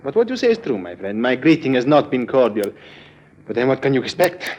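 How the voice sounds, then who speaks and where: An actor in a 1950s radio drama playing a boastful, melancholy Sicilian bandit chief with an Italian accent, grand and full of self-pity. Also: male voice, medium pitch, big pitch swings, fairly quick. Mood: nervous, boastful. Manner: grandiose, theatrical, self-pitying. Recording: dull vintage radio, music behind.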